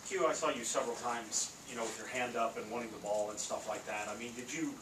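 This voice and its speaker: A male reporter asks his question briskly in a mid-range voice. He is far from the mic in a noisy, roomy space. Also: mid-range voice. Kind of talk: press conference questioning. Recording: distant, echoey, noisy room.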